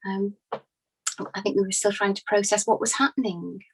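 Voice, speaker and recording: A woman acting as a devoted woman witness with a medium-pitched voice. She testifies earnestly in everyday speech, hesitating as she recalls events in a dramatized inquest. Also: steady tone, normal speed, darkish tone, husky, low-quality recording. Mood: shaken, hopeful.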